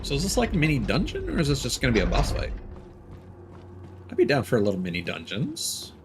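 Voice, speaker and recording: A man's voice: mid-range voice, huge pitch swings, a little fast, over music. A young man streaming a game, chatting casually and thinking aloud as he plays. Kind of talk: live gameplay commentary.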